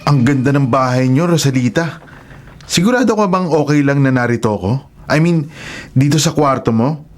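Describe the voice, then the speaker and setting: A man's voice: medium pitch, expressive, normal speed, natural voice. A man playing a teenage schoolboy classmate in a radio horror drama. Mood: friendly, impressed. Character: teenage male classmate, friendly, then frightened. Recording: dramatic music underneath.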